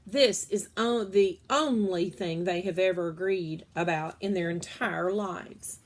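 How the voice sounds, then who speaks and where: A woman's voice: fairly low pitch, unhurried. Woman reading a children's book aloud. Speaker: read-aloud storyteller.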